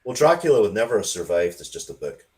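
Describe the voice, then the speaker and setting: A man with a very low voice talks casually as a guest writer in an interview. He rambles in a conversational, blunt way, spinning out explanations of his own creative work. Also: very animated, fast. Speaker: interviewed writer of comics and stories.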